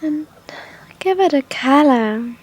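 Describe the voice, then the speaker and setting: Female meditation guide, high breathy voice, very slow and soft, gentle instructional delivery with long pauses. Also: animated, poor audio.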